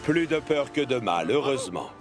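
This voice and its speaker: A man's voice: medium pitch, very animated, normal speed, over loud music. A man narrating a children's story as scripted narration.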